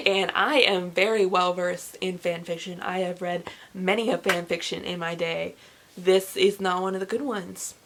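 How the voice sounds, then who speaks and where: A young woman speaks in a chatty, informal way, as a book vlogger would. Her pitch is average for a woman, and she leans on words for emphasis as she gives her opinions. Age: young adult. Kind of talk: casual book review chat to camera.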